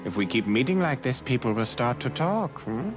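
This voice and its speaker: An actor playing a smooth, taunting villain racer in a video game, with mocking, drawling politeness. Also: male voice, medium pitch, lively intonation, normal speed, music in the background, natural voice. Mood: sly taunting. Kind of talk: video game cutscene acting.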